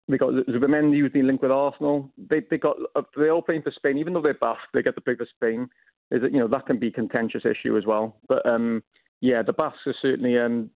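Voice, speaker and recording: A man's voice: medium pitch, no room sound. A Welsh man, an author guest on a radio phone-in, talking enthusiastically with many hesitations and repeated words. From Wales. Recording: tinny phone line.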